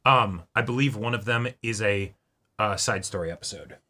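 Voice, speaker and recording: A man's voice: on the low side, normal speed, bright, forceful tone. A man co-hosting a reaction show, chatting casually in hesitant, circling phrases with frequent asides. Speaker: co-host of an anime reaction show. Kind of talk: casual chat about an anime episode.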